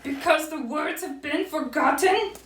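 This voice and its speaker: A woman voicing a self-pitying male owl from a storybook, with a drawn-out, plaintive delivery. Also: high-pitched, big pitch swings, fairly bright tone, pitched above the performer's own voice, heavily acted. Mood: tearful, mournful. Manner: drawn-out, tearful wailing. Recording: roomy, distant from the mic.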